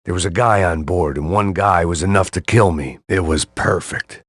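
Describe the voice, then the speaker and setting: A man voicing a weary, cynical middle-aged ex-cop, narrating a game in a dry, sardonic, husky voice. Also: sits low, normal speed, fairly bright tone, no room sound.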